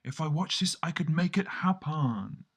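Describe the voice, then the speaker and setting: A man's voice: fairly high pitch, expressive, moderate pace, slightly rough, voice pushed up. A man voicing a mysterious, defiant female guardian sprite in a comic radio story. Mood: earnest.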